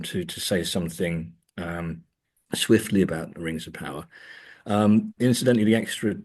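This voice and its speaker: A man with a very low, husky voice talks as an academic guest in an interview. He picks his way through long, discursive answers, halting often as he goes. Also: a little mellow.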